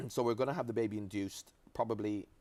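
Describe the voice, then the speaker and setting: A man's voice: lowish voice, fairly even intonation, fairly quick, a little mellow, no room sound. A man in his forties from Jamaica, a former footballer chatting as an interview guest, telling long stories with restarts and asides. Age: 40s. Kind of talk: relaxed interview chat.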